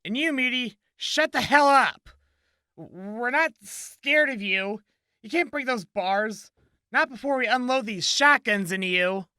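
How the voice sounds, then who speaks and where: Scornful jail guard played by a man, forceful and animated, for post-apocalyptic audio drama. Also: very high-pitched, a little slow, clear, resonant tone, no echo, heavily acted. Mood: scornful, cocky. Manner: brash, scornful. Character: brash, scornful jail guard barking at a prisoner.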